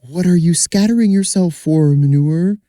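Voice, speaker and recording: A man doing a measured old oak tree. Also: a little high, dark tone, no echo, studio quality, pitched above the performer's own voice, lightly acted. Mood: solemn.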